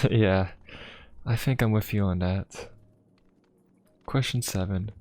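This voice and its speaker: A man playing a courteous, inquisitive young pope of a steampunk fantasy world in an ASMR roleplay, speaking politely, formally and in a gently musing way. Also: on the low side, slow. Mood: musing, friendly. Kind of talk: ASMR roleplay addressed to the listener. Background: music.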